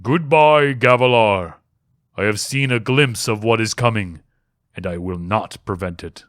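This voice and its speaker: A man voicing an ancient, cryptic storm spirit in a fantasy audiobook, slow, solemn and condescending. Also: lowish voice, fairly bright tone, no echo, clean studio sound. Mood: grave, foreboding. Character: ancient storm spirit, cryptic, scornful, all-knowing, male. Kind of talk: dramatic fantasy audiobook reading.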